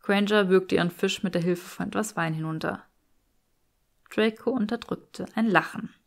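A woman's voice: mid-range voice, normal speed, creaky. A woman reading scripted story narration as an audiobook narrator.

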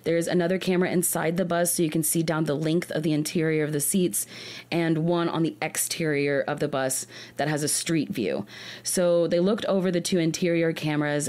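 Woman, low voice, true crime podcast host, earnest, restarts sentences. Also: a little mellow.

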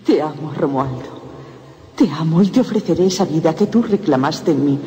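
A dead lover back from the grave, breathy tender woman, drenched in reverb. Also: sits low, animated, moderate pace, warm tone. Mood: tender, ghostly longing. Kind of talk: radio play acting. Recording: long cavernous reverb.

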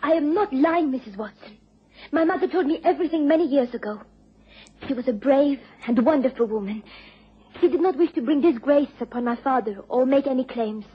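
An actress playing a proud, mysterious young woman in a radio drama, speaking formally and earnestly in measured phrases. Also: sits high, husky. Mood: earnest, wounded. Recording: dull old radio recording.

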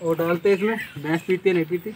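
A young man giving excited, repetitive casual vlog commentary to his viewers outdoors. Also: high-pitched, quick pace. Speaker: vlogger. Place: open dirt farmyard, outdoors. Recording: noisy outdoor yard, birds and animals.

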